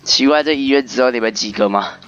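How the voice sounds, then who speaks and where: A man's voice: medium pitch, quick pace, fairly bright tone, clean tone, dull audio. A man doing casual playthrough commentary, narrating the story and reacting with exclamations. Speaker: gaming video commentator.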